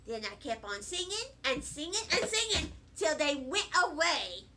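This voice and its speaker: A woman reading a children's book aloud in an animated, deliberate storytelling manner. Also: high-pitched, a little hiss or hum. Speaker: storybook reader. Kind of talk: expressive children's book narration.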